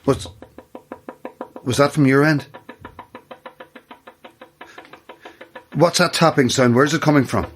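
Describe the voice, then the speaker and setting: An actor with an Irish accent playing a jittery, flippant late-night radio host in a naturalistic audio drama, chatty and blustery. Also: male voice, medium pitch, normal speed, fairly bright tone, soft background music, close to an everyday voice. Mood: uneasy, probing.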